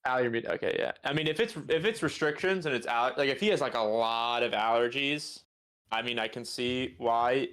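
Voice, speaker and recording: A man's voice: medium pitch, brisk, a bit bright, clean tone. A man in his twenties bantering casually as a podcast co-host, informal and often repeating himself as he thinks aloud. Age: 20s.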